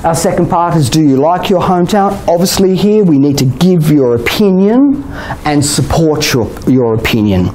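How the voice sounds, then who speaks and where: A man with an Australian accent giving a relaxed exam-prep lecture to a class, often checking in with "okay?" and "you know?". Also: fairly high pitch, moderate pace, slight background noise, rough recording. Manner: chatty, many "okay?" and "you know?" tags, laughs often. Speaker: IELTS teacher.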